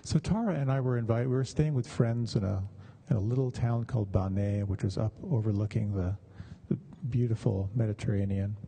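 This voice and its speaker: A man with a deeply mellow, relaxed voice that is husky and edged with creak. He talks like a meditation teacher sharing stories with students. His delivery is measured and halting, with room left between phrases. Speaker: meditation teacher giving a talk. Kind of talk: conversational dharma talk with personal stories.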